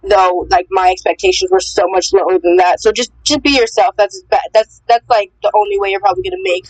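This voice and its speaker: Young woman, mid-pitched, talks fast and emphatic, rambling with fillers. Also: poor audio. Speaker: call participant. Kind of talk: casual group call chat.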